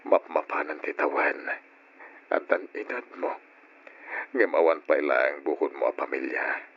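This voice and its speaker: Weary aging Ilocano father, very low voice. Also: a little slow, husky, full character voice. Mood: weary, sorrowful. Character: weary, worried aging father, caring for his family. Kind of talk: old radio drama acting. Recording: muffled lo-fi radio drama recording.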